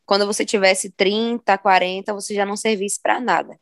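A woman's voice: medium pitch, steady tone, fairly quick, no room sound. Young brazilian woman on a podcast, earnest, stacks up her points, dry close sound. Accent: Brazilian Portuguese. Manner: earnest, builds points in lists. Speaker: podcast co-host. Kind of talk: casual podcast chat.

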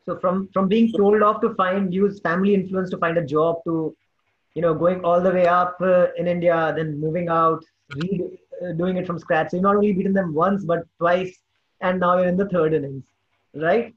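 Podcast host, male, notably high voice, casual chatty interviewing, somewhat lo-fi recording. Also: fairly even intonation, moderate pace.

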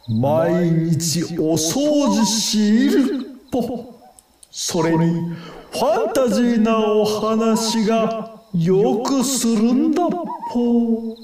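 A ponderous old owl for a radio play, swooping up and down between hoots. The delivery is theatrical and unhurried, in a roomy, distant sound. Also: medium pitch, expressive, dark tone. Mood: pondering. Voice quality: hooting "po" verbal tic. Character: elderly wise owl, pompous, helpful. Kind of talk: audio drama acting.

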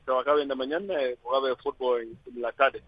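Zambian guy, spanish not his first language, lots of ehs and pauses, dull dry phone sound. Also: medium pitch, steady tone, no echo. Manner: halting, searching for words with many fillers. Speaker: interview guest. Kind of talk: phone interview.

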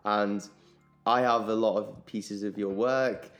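A man's voice: lowish voice, fairly even intonation, slow, faint music behind. A man hosting a podcast, reading a short intro and then asking questions with encouraging interjections. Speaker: podcast host.

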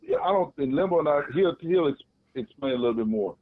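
Male co-host, mid-pitched, lively storyteller, phone-line sound. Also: quick pace, no echo. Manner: animated storytelling. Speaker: podcast co-host. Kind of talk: casual chat. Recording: tinny phone-like line, dry.

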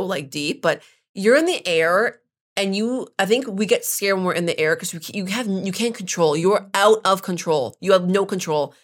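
A woman co-hosting a podcast in casual chat. Her voice is raspy and hoarse from a cold, and she tells rambling, animated stories full of fillers. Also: fairly low pitch. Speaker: podcast co-host.

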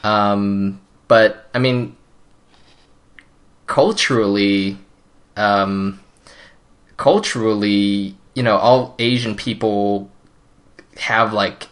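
A man's voice: lowish voice, steady tone, drawn-out words, long pauses, a bit bright. A young man whose first language is Cantonese, chatting casually in a laid-back way, full of fillers and hedges. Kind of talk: casual chat.

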